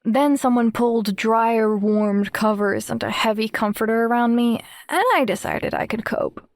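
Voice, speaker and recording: A woman playing a wary, introspective teenage girl who narrates her own story in a measured audiobook style. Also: a little high, steady tone, dry recording, clean studio sound, natural voice. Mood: introspective, tense. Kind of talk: first-person audiobook narration.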